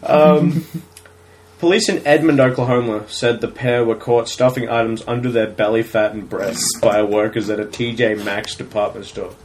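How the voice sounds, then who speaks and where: A man co-hosting a crude comedy podcast, reading out news stories and laughing loudly, often jumping into silly high-pitched voices. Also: steady tone, moderate pace, slight background noise.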